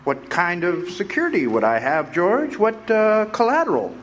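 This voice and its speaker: An actor playing a cold, greedy old banker in a live radio play, sneering and contemptuous. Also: male voice, high-pitched, wildly swooping pitch, moderate pace, faint music behind. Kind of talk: live radio drama acting.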